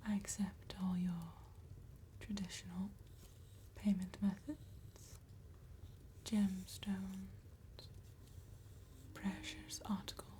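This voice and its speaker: A woman voicing a courteous, sly female vampire tattooist in a gothic ASMR roleplay, soft and breathy, with formal phrasing and veiled menace. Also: medium pitch, flat delivery, a little hiss or hum, natural voice. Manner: slow, formal, old-fashioned politeness with veiled menace.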